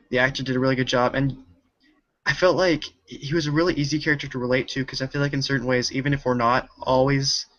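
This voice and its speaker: A man's voice: mid-range voice, a bit bright, muffled recording. A young man talking casually as an amateur film critic, informal and loosely rambling. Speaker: amateur film critic on a group review show. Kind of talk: casual review chat.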